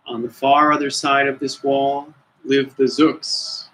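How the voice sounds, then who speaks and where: A man's voice: mid-range voice, little pitch movement, slow, a bit bright, poor audio. A man voicing a very old, suspicious grandfather from a storybook, giving grave, emphatic warnings. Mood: grave, indignant.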